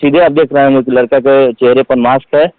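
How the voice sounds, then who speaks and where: A man being interviewed, speaking in a halting, repetitive, emphatic way. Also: medium pitch, fairly even intonation, no echo. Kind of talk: phone interview. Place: phone call. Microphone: telephone. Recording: tinny phone call recording.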